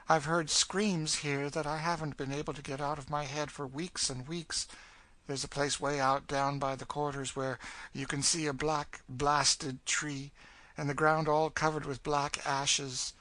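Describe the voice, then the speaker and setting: A male reader acts out a bitter storybook woman who has given up hope. The pitch is raised and the tone is hard and forceful. She is world-weary and fatalistic, leaning on her words with emphasis. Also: dry recording.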